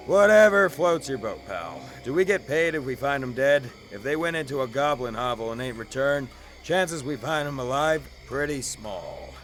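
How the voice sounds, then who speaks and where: A man's voice: mid-range voice, lively intonation, normal speed, a bit bright, soft background music, natural voice. Casting: actor as sarcastic male sellsword, out for the coin, blunt slangy talk, mid-range voice, fantasy audio play. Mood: cynical. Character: cynical, money-minded male mercenary adventurer. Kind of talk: fantasy audio drama acting.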